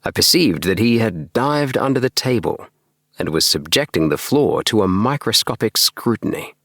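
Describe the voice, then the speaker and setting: A man's voice: fairly low pitch, animated, normal speed, fairly bright tone, no room sound. A man reading a scripted bedtime story as an audiobook narrator, close on the mic. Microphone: close mic in small dry home recording booth.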